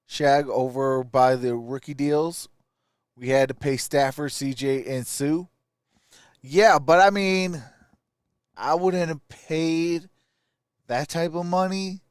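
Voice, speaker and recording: A man's voice: mid-range voice, clear, resonant tone, dry recording, studio quality. A man hosting a live sports stream, giving casual, opinionated commentary and answering viewer comments.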